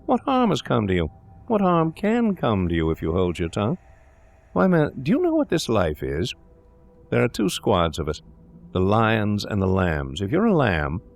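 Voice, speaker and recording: A man voicing a cocky young medical assistant in an audio drama, jovially condescending and persuasive as a corrupting accomplice. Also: low-pitched. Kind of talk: dramatic audiobook character reading.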